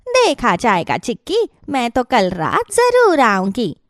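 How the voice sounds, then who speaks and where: A woman's voice: a little high, huge pitch swings, takes it slowly, no room sound, studio quality, full character voice. A woman voicing a sly, boastful female fox in a children's cartoon, taunting and theatrical. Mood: smug, taunting. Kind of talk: Hindi children's cartoon voice acting.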